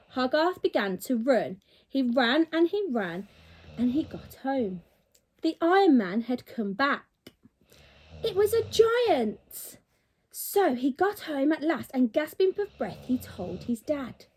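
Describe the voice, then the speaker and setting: Animated high-voiced woman reading a story. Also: a little slow, breathy edge. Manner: animated, dramatic delivery. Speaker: primary school teacher. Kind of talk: expressive storybook read-aloud for children.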